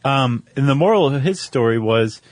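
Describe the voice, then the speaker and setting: A man's voice: mid-range voice, animated, moderate pace, dry recording. Wry man chatting, dry close sound. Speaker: podcast co-host. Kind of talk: casual conversational storytelling.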